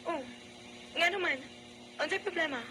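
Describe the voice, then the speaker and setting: A woman's voice: very high-pitched, moderate pace. An actress playing a struggling young single mother in an emotive Cebuano Filipino radio drama, earnest and tender. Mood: alarmed, calling out. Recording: very noisy radio broadcast recording.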